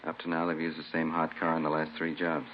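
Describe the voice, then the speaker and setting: Deep, businesslike homicide detective. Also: male voice, monotone, fast talker. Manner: matter-of-fact, clipped. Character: brisk, businesslike male homicide detective reporting case facts. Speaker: police detective. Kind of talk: 1950s radio crime drama acting. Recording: muffled vintage radio recording, faint noise.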